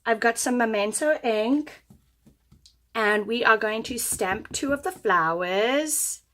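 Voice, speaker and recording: Casting: woman craft demonstrator, slightly higher voice, unhurried, voice going from a cold, chatty how-to delivery. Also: expressive, fairly bright tone. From United States. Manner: chatty, friendly step-by-step instruction. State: losing her voice. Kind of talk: craft tutorial. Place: indoor craft table.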